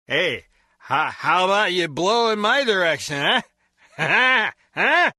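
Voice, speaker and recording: An actor playing a gruff, cheeky henchman guard in a video game, brash and informal in his talk. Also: high-pitched, big pitch swings, slow, bright, forceful tone, no echo, exaggerated voice. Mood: leering. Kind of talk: scripted video game voice acting.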